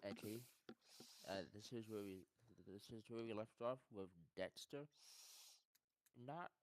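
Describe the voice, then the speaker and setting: A man's voice: on the low side, a little slow, poor audio. A young man co-hosting a stream, laid-back and terse, answering with short "okay"s. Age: young adult. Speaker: co-host. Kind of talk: casual chat.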